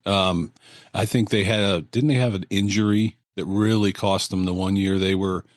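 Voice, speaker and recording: A man's voice: low voice, steady tone, no room sound, studio quality. A man co-hosting a sports podcast, chatting casually and often restarting his sentences. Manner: halting, many restarts and fillers. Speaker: podcast co-host.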